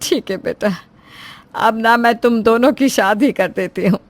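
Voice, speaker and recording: A woman's voice: on the high side, a little slow, close to an everyday voice. A woman voicing a protective middle-aged mother of grown children, earnest and fretful, in a family story. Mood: resigned. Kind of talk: Hindi family story voice-over.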